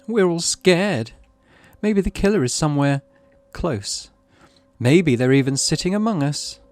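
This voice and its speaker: A man's voice: a little high, expressive, measured pace, no room sound, soft background music, voice pushed up. A man voicing a sly, dramatic schoolgirl in a horror game, theatrical and insinuating. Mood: slyly fearful. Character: sly, dramatic schoolgirl stirring up fear of a killer.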